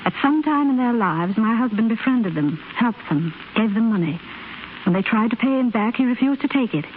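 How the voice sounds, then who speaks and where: Young widow played by an actress, highish refined voice, 1930s radio acting, thin recording. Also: female voice, animated, narrow, tinny audio, slight background noise, close to an everyday voice. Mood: composed, gracious. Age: young adult. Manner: polite, measured, refined. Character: gracious, composed young widow, quietly grieving.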